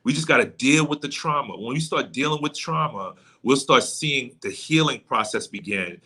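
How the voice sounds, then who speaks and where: Bright, forceful man explaining. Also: mid-range voice, moderate pace, breathy edge. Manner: explanatory, affirming, repeats key points. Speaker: guest, pastor and trauma coach. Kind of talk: conversational teaching in an interview.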